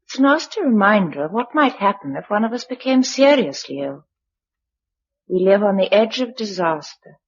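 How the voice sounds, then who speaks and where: An actress playing an affectionate, level-headed young wife after the apocalypse, in British radio drama style. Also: female voice, mid-range voice, very animated, normal speed, husky. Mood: sober, reflective. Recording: dull, lo-fi radio drama recording.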